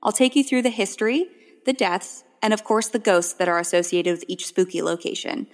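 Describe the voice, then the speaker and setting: Female promo read, gravelly mid-pitched voice, dramatic. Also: steady tone, fairly quick. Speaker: podcast host in an ad. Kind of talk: scripted podcast promo.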